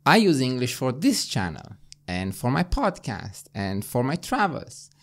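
A man in his forties from Romania with a Romanian accent, hosting a podcast conversation in earnest, persuasive tones, recorded in a small studio on a boom-arm mic. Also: huge pitch swings, a little slow. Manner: earnest, persuasive, often asks "right?". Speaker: podcast host. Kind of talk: casual conversation. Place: small studio with foam panels.

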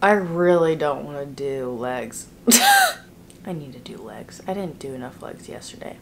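A woman's voice: very deep voice, vocal fry, a little hiss or hum. Young woman chatting to camera, casual. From Texas, US. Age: young adult. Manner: chatty, drawn-out, with frequent "like" and some whispered asides. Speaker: vlogger. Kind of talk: casual vlog chat to camera. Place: bedroom at home, then car. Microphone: camera at arm's length.

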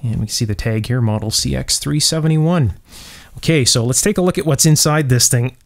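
A man with a medium-pitched voice gives an unscripted workshop demonstration. He thinks aloud as he goes, sounding keen and full of wonder at the equipment he is showing. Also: a little fast. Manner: enthusiastic, marveling, thinking aloud. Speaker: host of an electronics restoration video series. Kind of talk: unscripted hands-on demonstration commentary. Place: indoor electronics workshop at a bench.

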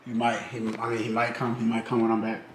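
A man's voice: mid-range voice, completely flat delivery, fast, slight background noise. A young man vlogging to a handheld camera, talking slangy and profane as he eagerly plots a prank. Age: young adult. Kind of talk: casual vlog to camera. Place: outdoors by a parking lot, then indoors. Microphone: handheld camera at arm's length.

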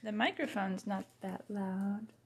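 A woman with noticeable vocal fry and a mid-range pitch talks casually, coaching a child through a gadget demonstration. It is a home recording made indoors on a handheld device, with some background noise. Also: a little slow. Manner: instructing, prompting a child. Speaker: mother filming a family video. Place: indoors in a tiled room at home. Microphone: handheld camera or phone, off screen.

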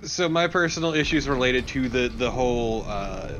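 A man's voice: medium pitch, lively intonation, normal speed, a bit bright, muffled recording, a little hiss or hum. A man talking casually as a co-commentator on a video game. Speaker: let's play co-commentator.

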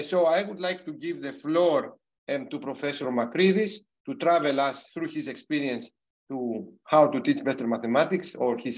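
A middle-aged man with a non-native English accent hosts an online webinar, introducing a guest with many fillers and restarts over a video call. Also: fairly high pitch. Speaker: host of an online university webinar. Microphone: computer mic on a video call. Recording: dull, muffled video call audio.

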